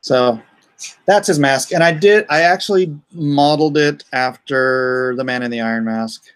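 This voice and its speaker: Guy hosting a casual livestream, rambly and informal, middle pitched voice, little joking asides. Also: normal speed, clear, resonant tone. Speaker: comic artist hosting a crowdfunding livestream.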